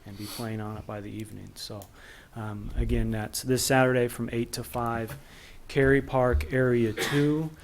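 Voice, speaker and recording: A man's voice: mid-range voice, little pitch movement, normal speed, few pauses. A man making an informal, hesitant public announcement at a council meeting. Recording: meeting room with faint noise.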